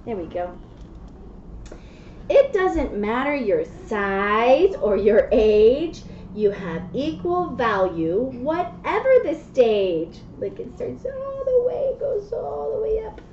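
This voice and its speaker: Woman, 30s, children's read-aloud presenter; unhurried, higher voice, simple explanations, faintly noisy recording. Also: sing-song, a little mellow. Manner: simple child-directed explaining with frequent check-in questions. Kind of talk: reading a picture book aloud and chatting to a small child. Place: small classroom, indoors. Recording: muffled, echoey webcam audio with noise.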